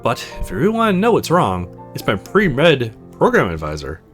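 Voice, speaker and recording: Guy reading as a snarky fed-up young woman in a game, swears, really animated, faint music behind. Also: medium pitch, normal speed. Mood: resigned, sincere. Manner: sarcastic, blunt, profane. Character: sharp-tongued, exasperated young woman, loyal but prickly.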